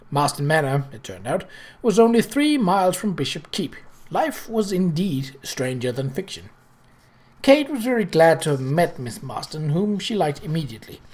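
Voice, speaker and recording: Expressive storybook narrator with swooping intonation. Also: medium pitch. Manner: expressive reading with occasional stumbles. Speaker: audiobook reader. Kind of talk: scripted narration of a period novel.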